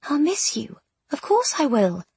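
A woman voicing a tender, grieving young woman in an audiobook, speaking in a hushed, emotional way. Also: sits high, animated, a little slow, breathy edge, voice pushed up, full character voice. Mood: hushed, regretful. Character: tender, grieving young woman consoling her best friend. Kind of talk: audiobook character dialogue.

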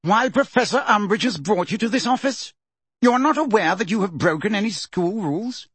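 A male narrator acts out a pompous older politician for a storybook. The voice sits high and swoops widely, blustering and thick with sarcasm, in a dry, close recording. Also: big pitch swings, measured pace, sharp tone, husky, no room sound, exaggerated voice. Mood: sarcastic, angry.

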